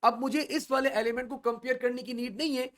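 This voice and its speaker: A man teaching an online coding class, asking the class questions and answering them himself as he steps slowly through each value. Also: extremely high pitch, fairly even intonation, fairly bright tone. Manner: slow and repetitive, stepping through values. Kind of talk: online coding lesson in mixed Urdu and English.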